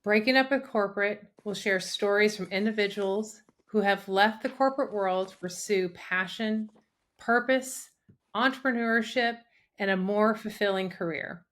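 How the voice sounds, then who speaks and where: A woman's voice: medium pitch, normal speed, a bit bright. Upbeat woman co-hosting a podcast. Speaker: podcast co-host, business coach. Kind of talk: scripted intro and interview hosting.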